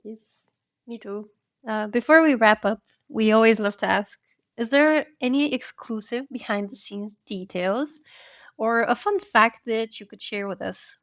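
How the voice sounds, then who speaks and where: A woman's voice: on the high side, unhurried, a bit bright, no room sound, studio quality. A woman hosting a podcast, friendly and eager, sometimes tripping over her words. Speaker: podcast host. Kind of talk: podcast interview.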